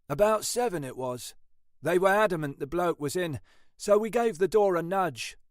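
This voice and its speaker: A mournful, overworked male detective from a crime story, put on by a male narrator. He grumbles chattily in a high-lifted, swooping voice with a very dry sound. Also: animated, normal speed, no echo. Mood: grim, chatty.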